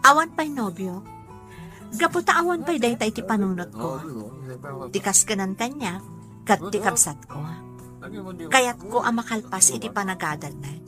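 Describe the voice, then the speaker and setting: A woman's voice: mid-range voice, expressive, moderate pace, long pauses, lightly acted. A woman acting a self-respecting young club worker in a radio drama, with an Ilocano accent. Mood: surprised, earnest. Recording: radio drama with music underneath.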